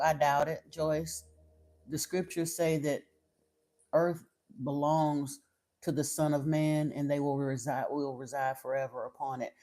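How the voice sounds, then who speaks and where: Casual livestream spiritual host from Florida, mid-pitched voice, frank and chatty, answers viewers one by one. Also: warm tone. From Florida, United States. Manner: chatty and direct, turns blunt and stern; frequent fillers and self-restarts.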